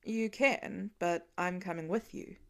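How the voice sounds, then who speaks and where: A woman voicing a gentle, reassuring father who talks softly and tenderly in a story reading. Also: fairly low pitch, measured pace.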